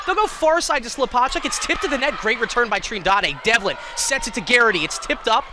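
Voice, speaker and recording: A man calling live college volleyball play-by-play in an excitable, self-deprecating way. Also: very high voice, fairly quick. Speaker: play-by-play sports commentator. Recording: clear gym noise, bouncing balls. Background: indoor volleyball match ambience.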